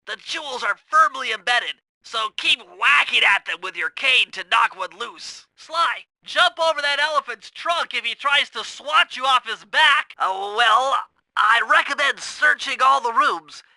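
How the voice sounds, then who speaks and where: Video game role: brainy young male turtle gadget expert. Extremely sharp, bright tone, fussy technical delivery, very dry close recording. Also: extremely high pitch, lively intonation, measured pace, creaky, no echo, full character voice. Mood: earnest instructing. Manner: precise, wordy, technical instructing. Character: nerdy young male cartoon turtle, brainy, earnest gadget-minded strategist. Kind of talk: video game character voice acting.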